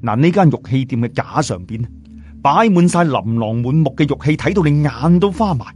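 A man with a Cantonese accent narrating a scripted story in a dramatic, expressive way. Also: medium pitch, normal speed. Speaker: audiobook narrator. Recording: music bed under voice. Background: background music.

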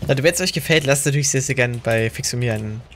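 A mid-pitched young man in his twenties hosts a game livestream in easy, chatty German banter. He teases his co-player and speaks straight to his viewers. Game noise sits clearly under his voice. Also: quick pace, fairly bright tone. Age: 20s. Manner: chatty, addresses viewers, teasing. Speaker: streamer, channel host. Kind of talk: casual gaming livestream chat. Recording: noisy game audio behind.

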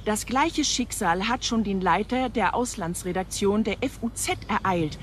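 An actress playing a self-assured news reporter in a radio drama, now and then searching for words. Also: female voice, medium pitch, moderate pace, slight background noise, lightly acted. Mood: matter-of-fact, assured.

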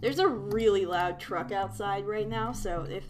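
A young woman chatting excitedly while playing a game with friends, often stammering and restarting sentences. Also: medium pitch, a little slow, music in the background. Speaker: gamer. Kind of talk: casual gaming chat with friends.

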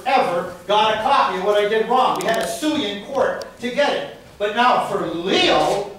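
A middle-aged man angrily addressing a city council at a public meeting, loud, frustrated and accusatory, hurling insults. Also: high voice. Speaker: citizen speaking at a public meeting. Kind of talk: angry public comment speech to a city council. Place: large council chamber indoors. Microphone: distant handheld camera in the audience. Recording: echoey room, distant camera mic, faint noise.

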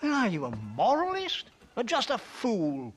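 An actor playing a dissolute, jovial middle-aged English trader in a Western video game, stammering and pompous, with a British accent. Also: male voice, very high-pitched, huge pitch swings, moderate pace, full character voice. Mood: giddy, defensive. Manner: blustering, stammering, pompous, tags phrases with "what?". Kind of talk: video game cutscene acting.

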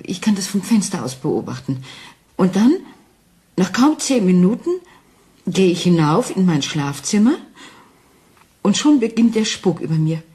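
An actress playing a frightened wife who doubts her sanity, speaking anxiously and haltingly in a German crime radio play. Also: lowish voice, big pitch swings, warm tone. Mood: haunted, fearful. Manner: anxious, halting, intense, dropping into whispers.

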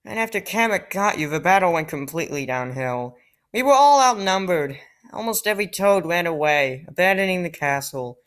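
A woman voicing small cheerful mushroom villagers, reading the game's dialogue in a light, slightly higher way. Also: expressive, normal speed, lightly acted.

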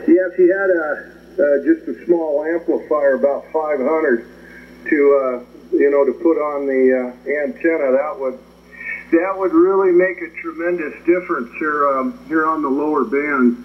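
A man's voice: on the high side, moderate pace. A man chatting casually as an amateur radio operator, hesitant and rambling, heard through a shortwave radio transmission. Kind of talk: casual radio contact chat. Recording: muffled ham radio audio, faint static. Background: radio static.

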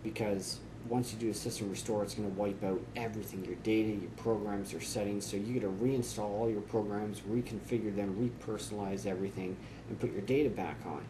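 A man in his forties explaining computer steps to camera in a relaxed, chatty way with frequent fillers, filmed in a small office. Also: fairly low pitch, a little fast, hardly any pauses, background noise. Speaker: tech tips host. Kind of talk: casual how-to explanation to camera.

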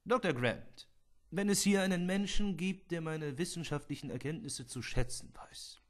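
A man's voice: fairly high pitch, normal speed, pitched above the performer's own voice, lightly acted. A man voicing a proud, indignant elderly park founder in a film scene reenactment, speaking emphatically and repeating himself. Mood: pleading.

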